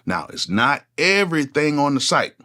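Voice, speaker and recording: A man's voice: a little high, very animated, moderate pace, pushed and bright. A man in his forties, a music producer talking casually to camera, with a loose, conversational delivery. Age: 40s. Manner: casual, conversational, repeats "go ahead" often. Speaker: music producer, channel host. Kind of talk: unscripted one-take talk to camera.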